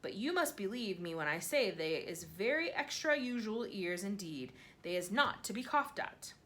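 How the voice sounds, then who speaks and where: A woman voicing a huge, gentle male giant who speaks with jumbled grammar and made-up words, earnest and full of wonder. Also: medium pitch, lively intonation, normal speed, lightly acted.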